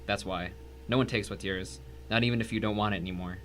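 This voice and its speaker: A man's voice: lowish voice, fairly even intonation, quick pace, fairly bright tone, slight background noise, natural voice. A man playing a blunt, defiant, cornered tennis pro in his thirties in an audio drama, curt and bitter. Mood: angry contempt. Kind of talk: dramatic audio story acting.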